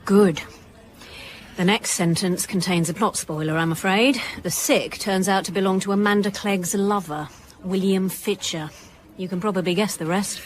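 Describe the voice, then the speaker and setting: A woman playing a senior police inspector in a radio drama, brisk and sceptical, with a dry, authoritative way of talking. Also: medium pitch, fairly even intonation, slight background noise, natural voice. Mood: firm, probing. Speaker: actor in an audio drama. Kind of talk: naturalistic radio drama dialogue.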